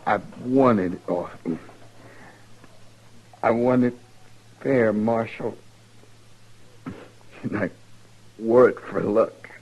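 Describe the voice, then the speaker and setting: Slow, halting, dying western outlaw. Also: male voice, fairly low pitch, a little mellow, slightly rough. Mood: bitter, weak. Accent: American. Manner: halting, labored, rustic drawl. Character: dying wounded male outlaw, bitter and defiant. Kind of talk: old-time radio western acting. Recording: dull, noisy old radio recording.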